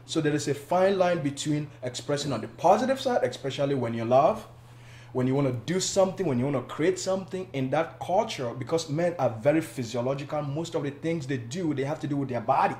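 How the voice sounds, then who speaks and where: A man from Cameroon, a guest on a panel talk show, explaining his views in a measured way with some restarts. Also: fairly high pitch, animated, darkish tone, reverberant. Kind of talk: casual panel discussion.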